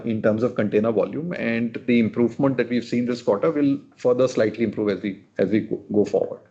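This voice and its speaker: A man speaks as a company executive fielding analyst questions. He explains carefully and hedges as he goes, at a middling pitch. The call audio is somewhat muffled and lo-fi. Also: dark tone. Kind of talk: earnings call Q&A. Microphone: conference call line. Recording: dull, lo-fi conference call audio.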